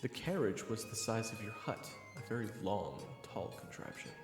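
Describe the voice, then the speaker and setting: A man's voice: on the low side, sing-song, normal speed, roomy sound, in a large hall, music in the background. A man reading scripted second-person fantasy narration as the storyteller of an audio roleplay.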